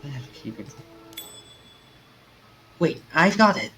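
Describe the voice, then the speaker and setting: A man's voice: fairly high pitch, sing-song, unhurried, music in the background, voice pushed up. A man voicing a desperate, stubborn man in a visual novel, speaking with urgent, impassioned conviction. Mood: urgent resolve. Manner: urgent, impassioned, self-questioning. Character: desperate, stubborn man caught in a deadly curse.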